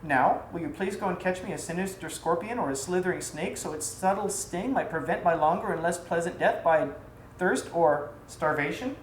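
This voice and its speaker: A woman's voice: lowish voice, moderate pace, close to an everyday voice. A woman voicing a despairing, self-pitying male caravan navigator in a storybook tale, lamenting grandly. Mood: wry, gloomy. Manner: melodramatic, lamenting. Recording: echoey room, faint background noise.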